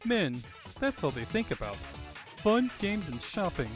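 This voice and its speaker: A man voicing a plucky, animated cartoon princess. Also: high voice, unhurried. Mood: wry. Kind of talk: cartoon-style comic fandub. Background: background music.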